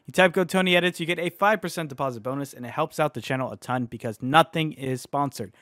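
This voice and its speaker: A young man with a hoarse, fading voice from a cold, casually commenting on his own online case-opening battles, chatty and excitable, then dropping to a mutter. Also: a little high, quick pace, no echo, studio quality. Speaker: online gambling video creator. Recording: faint music behind.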